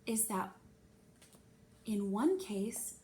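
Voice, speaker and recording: A stern female school principal from a storybook, as a woman reader puts her on, talking down in a measured, pompous way. Her pitch is middling, and there is noticeable noise in the recording. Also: animated, dark tone. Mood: condescending. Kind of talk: children's novel read-aloud.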